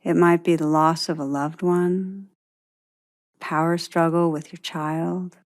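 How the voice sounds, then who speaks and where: A woman leading a guided meditation, speaking gently and deliberately, with spacious pauses between phrases. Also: fairly low pitch, fairly even intonation.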